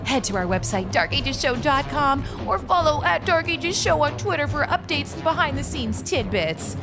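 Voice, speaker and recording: A woman's voice: high-pitched, sing-song, moderate pace, music in the background. A woman playing a brash, sassy, impatient fantasy character who reads audio drama credits with boastful, exasperated asides. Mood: grumbling, cocky.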